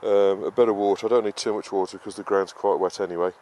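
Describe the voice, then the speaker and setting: Man, low-pitched voice, flat intonation, casual gardening explainer, halting unscripted delivery, noisy camera-mic recording. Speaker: gardening vlogger. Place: outdoor vegetable garden and greenhouse. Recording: clearly noisy outdoor ambience, uneven distance.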